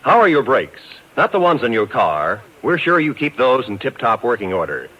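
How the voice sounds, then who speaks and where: A man announcing a 1950s public service message, earnest and gently admonishing, on an old radio recording. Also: mid-range voice, huge pitch swings, normal speed, husky. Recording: dull lo-fi vintage radio recording.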